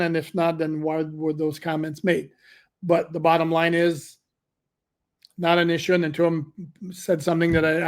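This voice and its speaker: A middle-aged man hosting a solo sports podcast, talking conversationally with fillers and self-corrections, his voice cracking at times. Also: on the high side. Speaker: podcast host.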